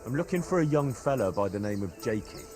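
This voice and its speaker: A man's voice: lowish voice, expressive, quick pace, music in the background, close to an everyday voice. An actor playing a blunt, wry young medieval man in naturalistic video game acting. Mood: businesslike.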